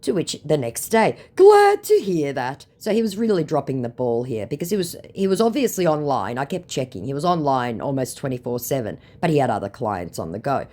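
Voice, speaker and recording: A woman's voice: rumbling low, lively intonation, fairly quick, hardly any pauses, warm tone. A woman, telling a story with dry, sarcastic asides. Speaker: scam baiter telling a story. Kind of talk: storytelling, reading out a chat.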